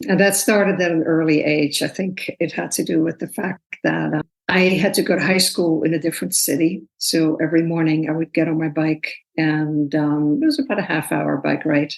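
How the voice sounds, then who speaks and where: Woman in her sixties from the Netherlands, very low voice, thoughtful, measured interview guest. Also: steady tone, gravelly, poor audio. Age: 60s. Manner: thoughtful, measured, laughs easily. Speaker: guest, personal trainer. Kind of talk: casual interview talk.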